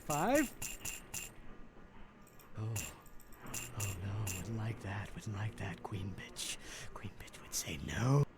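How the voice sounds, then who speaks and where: An actor playing a servile, unhinged vampire's minion in a video game, muttering and repeating himself in a creepy manner. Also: male voice, fairly low pitch, expressive, slow pace, pauses often. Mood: taunting. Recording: game audio under clear music.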